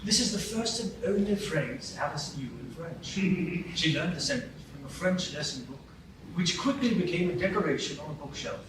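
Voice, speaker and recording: A man narrating a storybook tale in a live radio play, with a gentle storytelling manner. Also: on the high side, expressive, moderate pace. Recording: roomy echo from far off, light noise.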